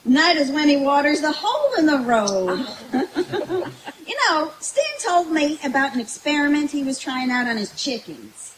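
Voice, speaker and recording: Woman playing a high-pitched, giggly country gal. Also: big pitch swings, measured pace. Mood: folksy, joking. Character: folksy, jokey, man-hungry country woman named Mabel. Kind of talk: old-time radio comedy skit before an audience. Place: outdoor woodland stage at night. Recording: hissy live camcorder recording from a distance.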